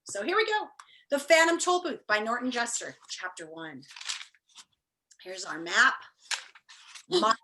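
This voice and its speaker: A woman's voice: fairly high pitch, animated, unhurried, pauses often, background noise. A woman teacher talking and reading aloud to an online class, enthusiastic and encouraging. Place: indoors, on a video call.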